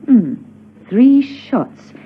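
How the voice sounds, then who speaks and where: A woman's voice: fairly high pitch, very animated, extremely slow, husky, full character voice. A woman playing a poised, inquisitive secret agent with an arch manner in a classic spy radio drama. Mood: thoughtful, intrigued. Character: poised, curious female secret agent, drily amused. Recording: dull, band-limited old radio recording.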